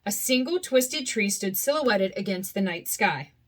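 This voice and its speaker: A young adult woman reading a children's storybook aloud with an expressive, animated delivery. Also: medium pitch, unhurried, slight background noise.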